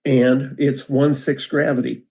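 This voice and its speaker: A man's voice: medium pitch, a little slow, creaky. An older American man giving a rambling, self-deprecating storytelling talk over a video call. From United States. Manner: relaxed, rambling, self-deprecating with many restarts. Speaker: guest speaker.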